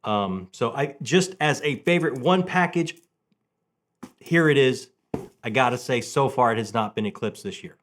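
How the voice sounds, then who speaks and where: A man hosting a casual, enthusiastic book vlog, talking in an animated way with halting restarts and repeated words. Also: mid-range voice.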